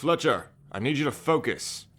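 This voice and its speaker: A man's voice: medium pitch, expressive, normal speed, fairly bright tone, breathy edge. A man playing a brusque, impatient, foul-mouthed sailor and treasure hunter in an audio drama. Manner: curt, commanding. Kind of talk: cinematic audio roleplay acting.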